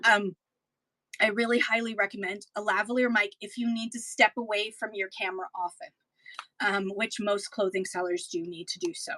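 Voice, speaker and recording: A woman's voice: mid-range voice, fairly even intonation, moderate pace, pushed and bright, dry recording, low-quality recording. A Canadian woman, a livestream seller, chatting casually and practically to her viewers as she gives selling advice. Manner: chatty, practical, with frequent ums and restarts. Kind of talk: casual instructional talk to viewers.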